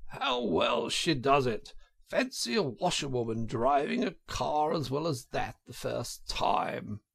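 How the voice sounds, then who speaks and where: A man voicing a kindly, fussing gentleman motorist in a storybook reading, with a solicitous, genteel manner. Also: medium pitch, expressive, slow, gravelly, slightly rough, full character voice. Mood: cheerful.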